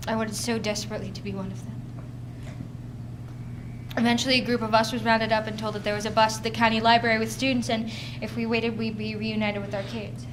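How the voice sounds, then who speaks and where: A woman's voice: on the high side, level tone, quick pace, natural voice. A woman playing a grieving, desperate mother, telling her story sorrowfully in a dramatic stage monologue. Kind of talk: dramatic readers theater monologue. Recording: noisy amateur recording of stage performance.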